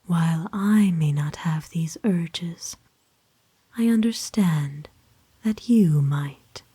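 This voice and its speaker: Casting: actress as kind aristocratic female vampire for ASMR roleplay; lowish, unhurried, hushed whisper, formal and tenderly soothing. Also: slightly rough, heavily acted. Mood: gentle, formal. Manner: gentle, formal old-fashioned phrasing, soothing.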